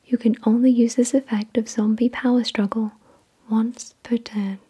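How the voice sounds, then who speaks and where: A woman whispering in a slow, deliberate, soothing way, reading aloud in ASMR style with a breathy, unvoiced delivery. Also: a little high, level tone, mellow. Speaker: ASMR video host.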